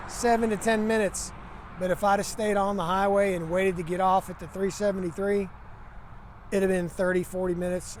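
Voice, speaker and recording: A man talks like a trucker vlogger. His delivery is folksy, plain and conversational, as if telling a story to camera. There is clear vehicle and outdoor noise behind him. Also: high-pitched. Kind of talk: casual first-person storytelling to camera. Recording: noisy, vehicle and outdoor ambience.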